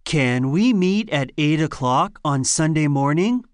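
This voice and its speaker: A woman reading scripted lines and answer options for an English listening test, slow and carefully enunciated. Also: low-pitched, expressive, no echo.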